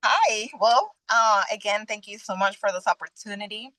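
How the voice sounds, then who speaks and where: A young adult woman from Venezuela, a guest sharing her personal faith testimony in an earnest, halting way with frequent restarts. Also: mid-range voice, fairly even intonation, bright, forceful tone, dry recording.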